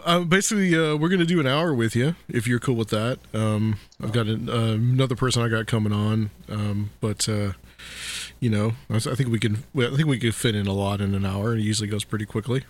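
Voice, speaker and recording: A man hosting a casual interview podcast, talking haltingly with many fillers and restarts. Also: fairly low pitch. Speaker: podcast host.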